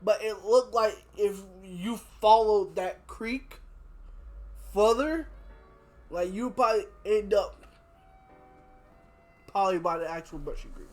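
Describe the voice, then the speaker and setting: A young man chatting casually as a podcast host, telling anecdotes in a slangy, animated way. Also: sits high, slow, pauses often, soft background music. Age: young adult. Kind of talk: casual chat, storytelling.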